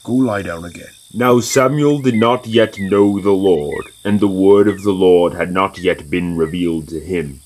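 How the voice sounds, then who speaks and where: A man's voice: low-pitched, measured pace, few pauses, darkish tone, slight background noise, poor audio. A man narrating scripture from a script in an audio drama.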